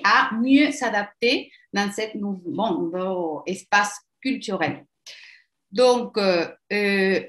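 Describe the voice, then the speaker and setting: A woman's voice: medium pitch, fairly bright tone. Argentine woman presenting in a roomy echo. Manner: halting, searching for words. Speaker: psychologist presenting a training. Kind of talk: webinar presentation. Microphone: video call.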